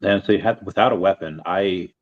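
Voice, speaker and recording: Deep American man hosting a podcast, dull lo-fi audio, halting friendly questions. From United States. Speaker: podcast host. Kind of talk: casual interview chat. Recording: dull lo-fi sound.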